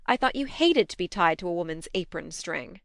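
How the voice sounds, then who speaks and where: A woman acts out a young elder sister from a classic storybook. She is brisk, blunt and affectionate, with a clear, smooth voice in a dry recording. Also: fairly low pitch, lively intonation, a bit bright, no echo. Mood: bossy teasing.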